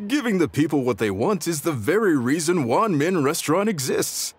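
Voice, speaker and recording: A man's voice: fairly high pitch, sing-song, moderate pace, slight background noise. A man voicing a hearty, proud, shrewd middle-aged restaurant chef in a video game, jovial and emphatic. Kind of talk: animated video game voice acting.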